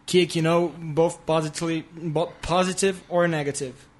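A man's voice: on the high side, fairly even intonation, moderate pace. A man co-hosting an interview who asks questions in a hesitant way, with many fillers. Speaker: co-host.

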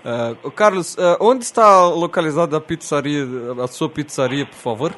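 A man from Brazil, a radio comedian making a prank call, speaking slowly and hesitantly with exaggerated politeness and many restarts. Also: a little high, lively intonation, fairly bright tone.

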